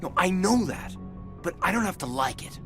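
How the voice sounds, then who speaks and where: A man's voice: high-pitched, expressive, normal speed, fairly bright tone. A man playing a sincere, plainspoken crewman on a starship in a video game. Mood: grieving. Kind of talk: video game dialogue acting. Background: music.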